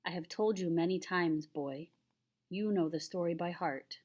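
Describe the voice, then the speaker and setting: A woman's voice: on the low side, measured pace, a little mellow. A woman voicing a patient, solemn old grandfather who tells a tale in a grave, tender way, reading a children's picture book.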